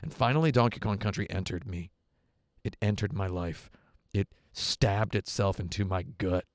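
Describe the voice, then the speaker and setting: A man hosting a casual live game stream, talking with playful, deadpan asides. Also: sits low, moderate pace, no echo, clean studio sound.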